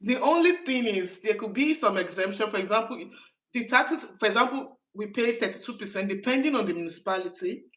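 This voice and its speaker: A woman's voice: medium pitch, fairly quick, poor audio. Brief: middle-aged woman host, easygoing, explains at length. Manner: relaxed, laughs easily, explains at length. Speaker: host asking questions. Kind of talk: casual conversational Q&A.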